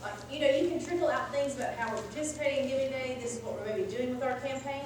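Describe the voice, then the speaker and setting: Woman presenter, high voice, upbeat, echoey room, clear background noise. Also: very fast, distant mic. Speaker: conference presenter. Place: large echoey conference room.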